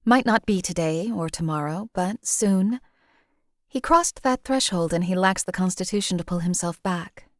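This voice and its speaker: A woman voicing a cold, detached female assassin in a game, speaking in a measured, formal, matter-of-fact way. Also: on the low side, a little mellow, no room sound, studio quality, lightly acted. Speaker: game companion character. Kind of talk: voiced game companion lines.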